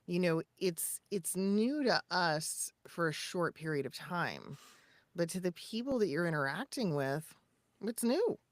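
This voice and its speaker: A woman with a fairly low voice talks shop as a podcast co-host. She is casual and emphatic, dropping short punchy asides into her advice. The sound is close and dry. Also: animated, a little slow, dry recording.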